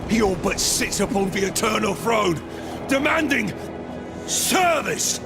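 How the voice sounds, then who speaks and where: Proud undead warrior, loud music. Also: male voice, sits high, expressive, unhurried, gravelly, full character voice. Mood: bitter pride. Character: proud, bitter undead male warrior, master of blades. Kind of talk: video game cutscene acting.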